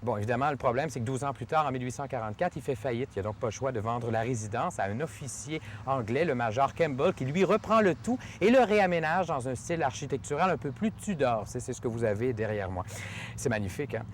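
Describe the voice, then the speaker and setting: A man's voice: mid-range voice, lively intonation, fast, a little hiss or hum. A man with a Québécois accent who hosts a TV show. He presents the show and interviews his guest in a chatty, enthusiastic way, joking about himself. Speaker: TV show host.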